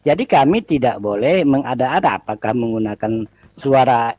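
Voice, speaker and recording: A husky-voiced man explains and defends his beliefs in a religious debate. His mid-pitched voice comes through dry, on dull, lo-fi audio. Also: normal speed, darkish tone, no echo.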